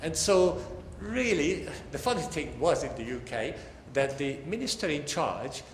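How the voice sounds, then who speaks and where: A man's voice: on the high side, lively intonation, measured pace. An older man giving a technical lecture as a professor, speaking conversationally with wry asides and emphatic stresses. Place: large lecture hall, indoors. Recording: echoey hall, faint background noise.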